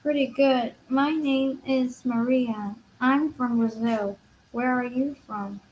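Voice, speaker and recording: A woman's voice: high voice, fairly even intonation, slow pace, darkish tone, a little hiss or hum, low-quality recording. A woman learning English, hesitant and brief, repeating phrases after her tutor. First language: Vietnamese. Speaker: English student.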